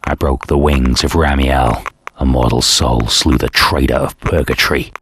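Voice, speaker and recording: A man's voice: fairly even intonation, moderate pace, slight background noise. An actor playing a damned, vengeful man in a video game, narrating his fate in an intense, brooding, theatrical way. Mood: scornful. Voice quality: very deep voice. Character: damned, vengeful male antihero, bitter and doomed, recounting his fate. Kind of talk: dramatic first-person game cutscene narration. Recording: loud dramatic music behind.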